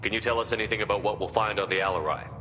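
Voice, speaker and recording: A man playing a direct, commanding human military captain in a video game, asking brisk questions. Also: fairly low pitch, steady tone, lightly acted. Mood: businesslike. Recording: long hall reverb, loud music behind.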